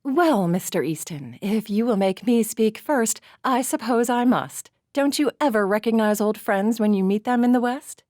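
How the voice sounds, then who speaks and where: A poised young society girl with a full, sweet voice, lifted a little higher and brighter, every word careful and cultured, as a female storyteller puts her on. She speaks from a close, dry storybook recording with no room sound. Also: lively intonation, no room sound, natural voice. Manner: sweet, deliberate, cultured speech. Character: poised young society woman, refined and gracious.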